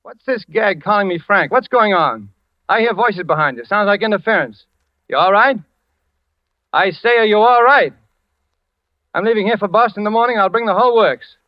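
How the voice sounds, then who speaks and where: A man playing an earnest, anxious engineer and ham radio operator in a 1940s radio thriller, talking in brisk, slangy calls. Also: high voice, lively intonation. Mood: worried, urgent. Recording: old muffled, roomy radio recording.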